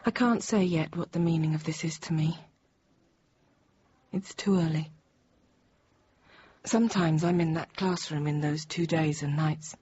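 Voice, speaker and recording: An actress playing a thirty-year-old Parisian teacher, recalling an ordeal in measured, halting, controlled radio drama narration. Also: female voice, low voice, steady tone, a little mellow. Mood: reflective, numb. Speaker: lead actor and narrator. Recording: dull, noisy radio drama recording.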